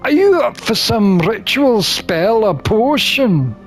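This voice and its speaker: A man reading a visual novel aloud as a gamer, expressive and theatrical, with drawn-out phrasing. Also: sits high, faint music behind. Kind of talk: expressive read-aloud of game text.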